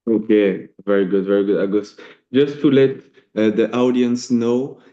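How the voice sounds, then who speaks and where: French guy hosting an english interview, mellow dark tone, kinda muffled sound. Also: on the low side, steady tone, moderate pace. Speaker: language teacher, host.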